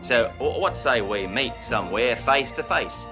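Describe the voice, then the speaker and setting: A man's voice: mid-range voice, lively intonation, unhurried, over music, natural voice. An actor playing a brash, boastful rich male outback car dealer with a put-on Australian accent and a swaggering, folksy way of bragging. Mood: smarmy.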